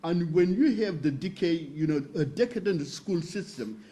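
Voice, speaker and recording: A man from northern Senegal speaking as a panelist at a roundtable, explaining slowly and carefully with fillers and restarts. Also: high voice, expressive, dark tone. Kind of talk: roundtable talk.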